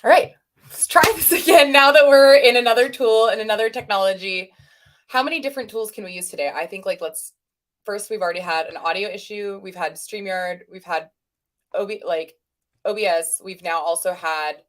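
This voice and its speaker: A woman's voice: mid-range voice, normal speed. Woman streamer, chatty and self-deprecating, loose stream banter into a computer mic. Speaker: stream co-host. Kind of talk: casual livestream chat.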